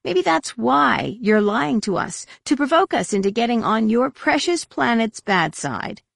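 A woman voicing a sceptical, combative female warrior in a space-opera audiobook, challenging and sharp-tongued. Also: mid-range voice, very animated, a little slow, creaky, husky, dry recording, studio quality, exaggerated voice. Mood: angry challenge.

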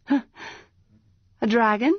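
A woman's voice: slow pace, slightly rough, no echo. A woman reading aloud, voicing a proud young girl priestess who speaks with scorn and defiance. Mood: scoffing. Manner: scornful, defiant, rising to shrill. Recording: dull, muffled, dry sound.

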